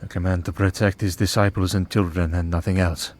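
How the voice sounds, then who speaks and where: A man playing a weary, devout and gentle vampire hunter priest in an ASMR roleplay, speaking in a measured, solemn way with pauses. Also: sits low, level tone, lightly acted. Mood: solemn, reflective. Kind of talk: ASMR roleplay audio drama.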